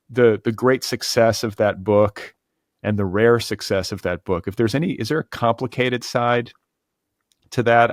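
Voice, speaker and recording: Man, lowish voice, podcast interviewer, conversational, musing and tentative, very dry studio-like sound. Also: no echo. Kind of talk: conversational long-form interview.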